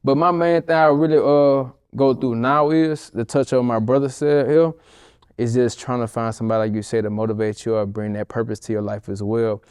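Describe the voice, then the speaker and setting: A man's voice: mid-range voice, fairly even intonation, fairly quick, a little mellow, clean tone, no echo, studio quality. A man from Baton Rouge, an interview guest speaking in an easygoing way, full of slang. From Baton Rouge, Louisiana. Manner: easygoing, talks in slang and metaphors. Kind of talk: casual interview talk.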